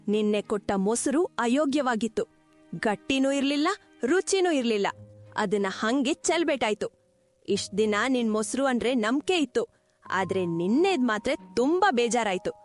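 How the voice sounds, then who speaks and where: A woman's voice: a little high, animated, normal speed, faint music behind, natural voice. A woman voicing a disappointed old village grandmother in a cartoon story, scolding as a customer.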